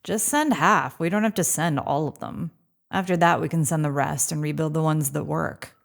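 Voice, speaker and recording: A woman playing a sardonic, stressed woman on a space mission in an audio drama, with a creaky voice and dry manner. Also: low voice, normal speed. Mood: dry, sarcastic.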